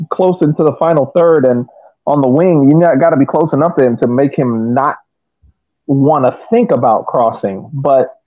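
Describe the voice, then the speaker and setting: Thin, phone-like audio carries a man with a slightly high voice. He co-hosts a soccer podcast, picking apart play analytically and in a casual, slow delivery. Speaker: sports podcast co-host.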